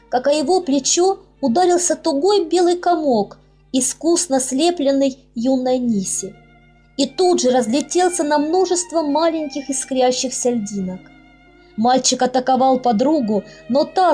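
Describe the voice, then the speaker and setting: High-voiced woman narrating a fairy tale. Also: dark tone. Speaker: author reading her own audiobook. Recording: music under the voice. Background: background music.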